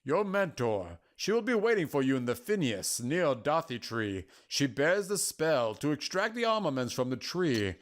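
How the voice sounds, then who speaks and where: A man performs a solemn keeper of prophecy for a fantasy story. He is patient and cryptic, choosing formal, old-fashioned words and setting them out in a measured way. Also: fairly high pitch, sing-song. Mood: gentle, solemn. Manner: measured, formal, archaic phrasing.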